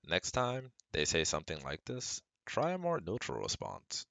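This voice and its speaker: A man's voice: low voice, wildly swooping pitch, moderate pace, gravelly, a bit bright, no room sound, exaggerated voice. A man playing a caring, protective best friend who gives firm, earnest advice straight to the listener in an ASMR roleplay. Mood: calm, instructive. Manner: soothing, earnest, instructive, speaking directly to listener.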